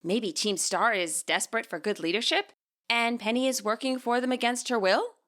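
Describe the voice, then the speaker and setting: A woman reading scripted story narration as its author, in an expressive way. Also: fairly high pitch, normal speed.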